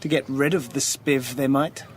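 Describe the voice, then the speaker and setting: A man's voice: mid-range voice, steady tone, normal speed, a little hiss or hum, lightly acted. A male actor playing a snobbish, privileged English schoolboy in a radio drama, haughty, clipped and sneering. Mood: sneering, suspicious.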